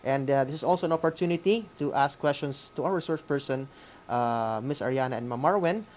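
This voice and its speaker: A man's voice: medium pitch, fairly quick, darkish tone, slight background noise. Male co-host, Filipino accent, informal school broadcast, hesitant and stop-start delivery. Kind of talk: informal hosting of an online class.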